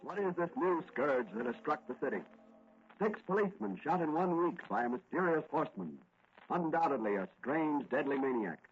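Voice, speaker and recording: A man's voice: a little high, expressive, normal speed, soft background music. A man announcing and narrating a radio serial in an emphatic, theatrical 1940s style. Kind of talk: 1940s dramatic scripted announcing. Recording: noisy lo-fi vintage radio recording.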